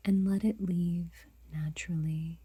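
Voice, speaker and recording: A woman guiding a meditation in a soft, breathy whisper, unhurried and gentle, leaving long pauses. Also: lowish voice, fairly even intonation, no room sound. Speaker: meditation guide.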